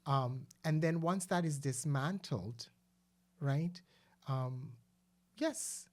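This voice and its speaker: A man's voice: fairly high pitch, extremely slow. A man, co-hosting a casual discussion show, speaking earnestly and thoughtfully with frequent hesitations and restarts. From Guyana. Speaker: podcast co-host.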